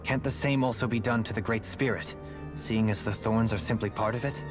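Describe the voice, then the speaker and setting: An actor playing a thoughtful, analytical young male companion in a video game cutscene, reasoning in a measured way. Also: fairly low pitch, steady tone, over music, close to an everyday voice. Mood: questioning. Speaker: game character.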